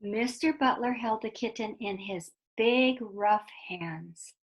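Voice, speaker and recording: A woman reading a children's story aloud in a deliberate, explanatory way, with asides to young listeners. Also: medium pitch, lo-fi sound.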